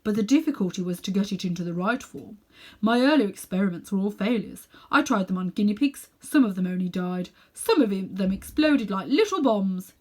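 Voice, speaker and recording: A woman's voice: medium pitch, animated, a little fast, darkish tone, close to an everyday voice. A woman plays an elderly magician uncle from a children's storybook. He is sinister and full of himself, talking down to his listener with pompous, heavily stressed phrases. He takes offence easily. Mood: awed, proud. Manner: pompous, condescending, emphatic.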